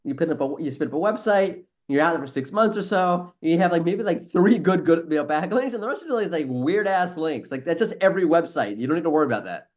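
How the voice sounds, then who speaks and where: A man's voice: a little high, expressive, quick pace, few pauses. Blunt, sarcastic man chatting on a podcast. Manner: blunt, sarcastic, mildly profane. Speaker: podcast host, SEO professional and former teacher. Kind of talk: casual podcast chat.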